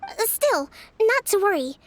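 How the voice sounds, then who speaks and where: A woman voicing a composed, thoughtful young female strategist in an anime game, speaking softly in a measured, polite way. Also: very high voice, big pitch swings, full character voice. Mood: calm, deliberating. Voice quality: soft, girlish. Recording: game music behind the voice.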